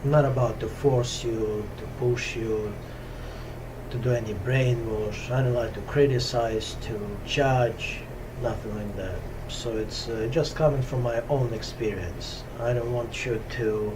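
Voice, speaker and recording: Slow soft-spoken Russian-accented man. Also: medium pitch, steady tone, background noise. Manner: slow, hesitant, many pauses and fillers. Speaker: yoga teacher. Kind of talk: casual spiritual talk to camera. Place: top-floor room at home on a hot day. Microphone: camera.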